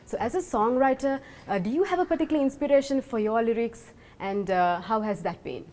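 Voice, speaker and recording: A woman's voice: a little high, fairly quick, darkish tone. A woman, hosting an interview with prepared questions. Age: 20s. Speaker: interviewer, host. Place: large brick-walled room with big windows, indoors. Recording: music behind the voice.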